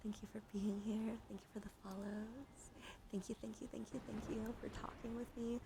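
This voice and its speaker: A woman's voice: on the high side, little pitch movement, normal speed, background noise. A woman streamer talking casually to her viewers in an informal, slangy, chatty way. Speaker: livestream host, ASMR streamer. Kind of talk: casual livestream chat to viewers.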